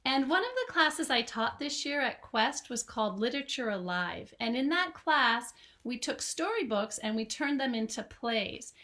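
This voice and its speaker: A woman's voice: on the high side, animated, normal speed, pushed and bright. A woman who teaches children, reading a picture book aloud expressively and chatting to young listeners. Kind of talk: children's storytime reading and chat.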